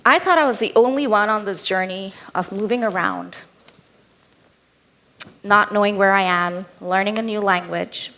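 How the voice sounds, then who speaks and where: A woman's voice: medium pitch, moderate pace, bright, forceful tone. A woman in her thirties with an Indian accent, giving a keynote talk as a personal story told in a candid, lightly humorous way. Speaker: keynote speaker.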